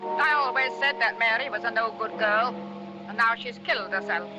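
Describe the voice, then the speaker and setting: A woman's voice: on the high side, normal speed, over music, lightly acted, low-quality recording. Woman acting a sour village matron. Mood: sour, prim. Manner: prim, disapproving. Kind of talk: old-time radio mystery acting.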